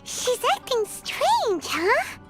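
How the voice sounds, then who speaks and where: A woman voicing a small, excitable childlike fairy companion in a video game, squeaky and expressive over music. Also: extremely slow, full character voice. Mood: puzzled. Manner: expressive, refers to herself by name.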